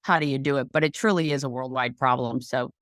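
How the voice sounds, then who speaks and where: A woman podcast host with a notably low voice talks in a loose, rambling, enthusiastic way. She chats casually. The recording is dry and close. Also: fairly even intonation, quick pace, fairly bright tone, dry recording. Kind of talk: casual conversational chat.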